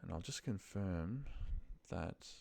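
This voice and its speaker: A man with a deep voice and a gravelly fry walks someone through office work on his screen. He thinks aloud casually and haltingly, half muttering to himself as he goes. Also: lively intonation, mellow. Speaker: trainer demonstrating office data work. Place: voice-over of a screen recording.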